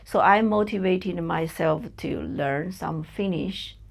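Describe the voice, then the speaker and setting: Chinese-accented woman, native Chinese speaker, podcast guest; slow and halting, some vocal fry, plenty of fillers. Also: on the low side. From China. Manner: hesitant, searching for words, many fillers. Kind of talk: personal interview.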